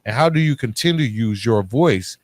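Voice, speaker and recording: A man's voice: mid-range voice, lively intonation, brisk, no room sound. Male podcast host, informal and chummy, mid-range voice, close dry recording. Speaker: podcast host interviewing a guest. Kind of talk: casual conversational interview. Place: living room at home.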